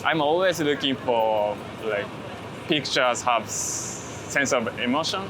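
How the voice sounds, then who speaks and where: Soft-spoken man, halting English, street noise. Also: medium pitch, lively intonation. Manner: halting English with many fillers and restarts. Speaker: interviewed street photographer. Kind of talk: casual walking interview. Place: city street outdoors. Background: traffic and street noise.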